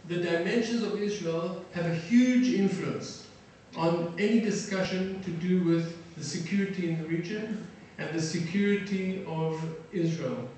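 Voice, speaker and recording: Middle-aged guy from south africa giving a talk, lots of ums, higher voice, super echoey hall, mic far off. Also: darkish tone, a little hiss or hum. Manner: halting, many fillers and restarts. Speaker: defense attaché giving a lecture. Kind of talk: lecture to an audience. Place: lecture hall.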